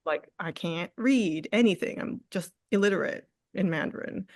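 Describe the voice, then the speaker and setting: A woman artist in a candid interview, speaking reflectively with frequent fillers, restarts and soft laughs. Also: fairly low pitch, normal speed, dry recording, studio quality. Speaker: artist guest.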